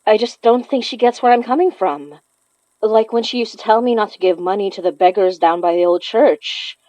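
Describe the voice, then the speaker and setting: Actress doing young filipino daughter, filipino accent, sad and unsure, halting confessional audio drama talk, dry kinda muffled sound. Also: female voice, medium pitch, warm tone, no room sound, close to an everyday voice. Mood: frustrated, reflective. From Philippines. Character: grieving, conflicted young Filipino daughter leaving messages. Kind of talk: intimate audio drama monologue. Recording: slightly dull, lo-fi, dry recording.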